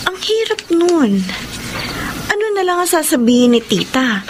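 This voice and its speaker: Young Filipino wife and political candidate: fairly high voice, heartfelt delivery, radio drama, slight background noise. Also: female voice, sing-song, a little slow. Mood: troubled, torn. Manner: earnest, emotional. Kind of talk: Tagalog radio drama acting.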